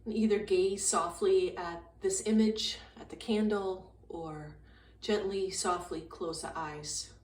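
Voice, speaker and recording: A woman with a slightly low voice guides a meditation calmly and gently. She speaks very slowly, in short phrases with long pauses. The sound is echoey, as if she is some way from the mic. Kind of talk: guided meditation, slow soothing instruction. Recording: roomy echo, distant mic, faint noise.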